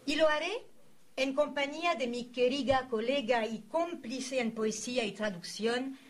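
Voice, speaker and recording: A woman interviewer delivering a formal, literary introduction in solemn, florid, deliberate phrases. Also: sits high. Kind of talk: formal, literary scripted introduction with brief asides. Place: university event recorded for a filmed programme. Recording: clear background noise, room ambience.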